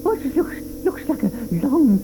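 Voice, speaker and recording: A fretful marvelling old grandpa. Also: male voice, very high-pitched, big pitch swings, moderate pace, background noise, exaggerated voice. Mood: anxious. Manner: anxious, marvelling, hesitant. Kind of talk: 1980s radio drama acting.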